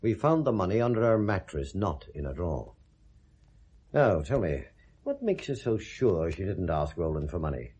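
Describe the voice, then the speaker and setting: An actor playing a shrewd, sceptical British police detective in a 1970s radio drama, probing with dry, sardonic questions. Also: male voice, deep voice, very animated, moderate pace, darkish tone, husky, exaggerated voice. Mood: brisk, probing.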